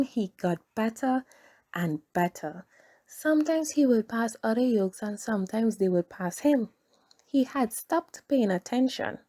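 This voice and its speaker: A woman teacher reading a storybook aloud for young children, with deliberate, simple phrasing. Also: mid-range voice, warm tone.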